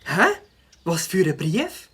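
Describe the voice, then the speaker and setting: Woman voicing a lively skeptical boy beaver. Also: fairly low pitch, takes it slowly, slight background noise. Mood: curious. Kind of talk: children's audio drama.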